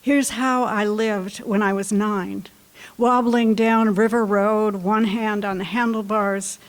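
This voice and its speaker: A woman's voice: mid-range voice, fairly even intonation. A woman poet at a library reading who introduces and reads her own poems in a light voice. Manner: light voice, unhurried and deliberate. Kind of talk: poetry reading. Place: library event room.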